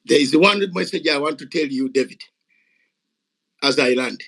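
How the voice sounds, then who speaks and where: A man's voice: fairly high pitch, normal speed, creaky, fairly bright tone. An older man speaking Ugandan English, giving an impassioned, unscripted speech at a gathering. He is fiery and emphatic, repeating words for force. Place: gathering of activists.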